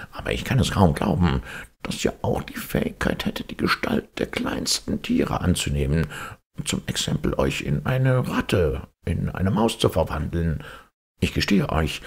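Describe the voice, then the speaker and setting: Man voicing a sly, gravelly talking tomcat. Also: deep voice, huge pitch swings, unhurried, pitched above the performer's own voice, heavily acted. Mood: flattering, wheedling. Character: cunning, bossy talking tomcat in boots.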